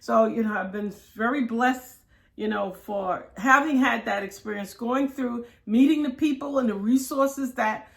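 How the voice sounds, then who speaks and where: A woman in her sixties speaks as an interview guest in a fairly high-pitched voice. She tells her story candidly and expressively, voicing quoted remarks along the way in a conversational flow. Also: unhurried, vocal fry. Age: 60s.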